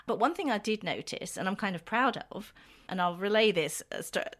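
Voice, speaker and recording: A woman in her fifties co-hosting a relaxed podcast chat. She spins little domestic anecdotes with easy laughs. Her voice sits at a middle pitch and sounds younger than her years. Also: moderate pace. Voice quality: youthful-sounding voice she tries to smooth out. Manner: chatty anecdotal storytelling with frequent laughs. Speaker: podcast co-host. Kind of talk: casual chat.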